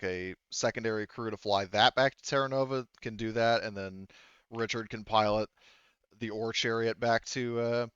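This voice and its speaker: A man's voice: fairly low pitch, fairly bright tone, smooth, no echo, muffled recording. A man chatting casually and informally as game master of an online tabletop game, swearing freely. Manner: informal, profane.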